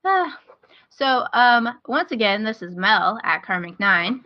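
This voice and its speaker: A woman's voice: fairly high pitch, big pitch swings, slow, muffled recording, slight background noise. A woman hosting a podcast, talking casually in a chatty, enthusiastic way about things she recommends. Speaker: podcast host.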